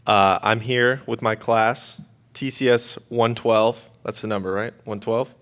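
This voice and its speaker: A man's voice: fairly low pitch, fairly even intonation, a little slow, fairly bright tone. A man speaking casually and off the cuff as a teacher introducing a student radio show.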